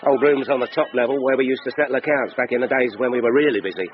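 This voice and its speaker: A man with a London accent acting in a radio drama as a gruff, mocking working-class market butcher. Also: mid-range voice, rapid-fire, dull audio, soft background music, lightly acted. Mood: dismissive. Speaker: actor.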